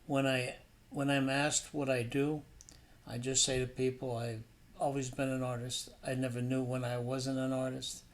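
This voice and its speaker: A man's voice: mid-range voice, flat delivery, normal speed. A man narrating a painting demonstration as an instructor, in an informal, explanatory and somewhat hesitant way.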